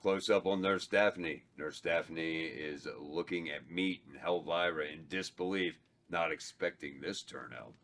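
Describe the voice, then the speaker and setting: A man reading stage directions aloud at a table read, slow and a little halting. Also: rumbling low. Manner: slow, flat, stumbles over words. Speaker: script reader of stage directions.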